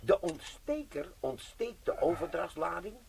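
An actor playing a gruff veteran bomb disposal sergeant who swears and lectures in a radio play. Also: male voice, fairly high pitch, big pitch swings, unhurried, darkish tone, heavily acted. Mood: lecturing.

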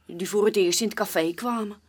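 Plain-spoken young barmaid played by an actress. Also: female voice, mid-range voice, steady tone, fairly quick, breathy edge, lightly acted. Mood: confiding. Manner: informal, chatty, colloquial. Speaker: witness, café barmaid. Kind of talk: naturalistic radio play dialogue.

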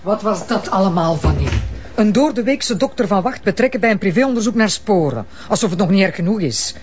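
An actress playing a sharp-tongued, exasperated prosecutor in a crime drama, with a Flemish accent and a scolding, sarcastic manner. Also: female voice, medium pitch, animated, a little fast, slight background noise, close to an everyday voice. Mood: reproachful. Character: sharp-tongued, exasperated female public prosecutor, the commissioner's partner. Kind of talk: naturalistic TV crime drama dialogue. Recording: dull, lo-fi TV soundtrack.

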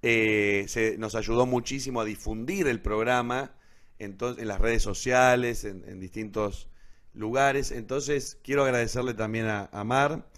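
An Argentine man hosting a live online show casually, in a rambling, halting way that often corrects itself. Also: medium pitch, fairly even intonation, fairly bright tone. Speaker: host of an online radio theatre show.

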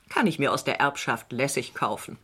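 A woman playing a jealous, scheming wife who toys mockingly with her husband in a German radio crime play, theatrical and husky. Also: a bass voice, sing-song, normal speed, a bit bright, exaggerated voice. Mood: taunting. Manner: taunting, theatrical, teasing.

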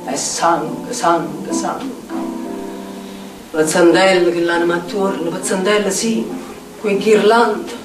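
Tormented Neapolitan outcast, slow and echoey. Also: female voice, on the low side, steady tone. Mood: anguished. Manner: incantatory, dramatic, repetitive phrasing. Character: tormented street-dwelling outcast in a Neapolitan stage monologue. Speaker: stage actress. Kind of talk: theatrical poetic monologue. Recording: echoey distant stage sound with music. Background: plucked guitar music.

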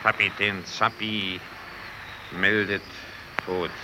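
Terse, commanding sea captain, measured and slow, for a radio play with heavy background noise. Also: male voice, on the low side, flat delivery. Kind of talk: German radio play acting. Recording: noisy old radio drama recording.

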